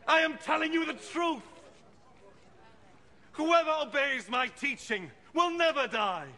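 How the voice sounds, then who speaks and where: A man's voice: extremely high pitch, lively intonation, a little slow, pushed and bright, full character voice. An actor in his thirties playing an impassioned young preacher confronting doubters in a film drama, declamatory and earnest. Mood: impassioned, accusing. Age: 30s. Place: open stone courtyard. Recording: noisy outdoor crowd ambience.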